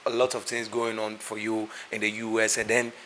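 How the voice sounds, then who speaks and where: A man hosting an interview, upbeat and brisk, prompting his guest with quick questions. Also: fairly low pitch, monotone, bright, forceful tone, slight background noise. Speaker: interview host.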